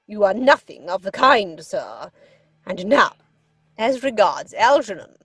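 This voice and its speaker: A woman's voice: mid-range voice, big pitch swings, a little slow, fairly bright tone, breathy edge, no room sound, exaggerated voice. A woman playing an imperious, indignant older aristocratic mother in a period stage comedy, with a pompous, commanding manner. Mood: cold, commanding. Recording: dry home recording over video call.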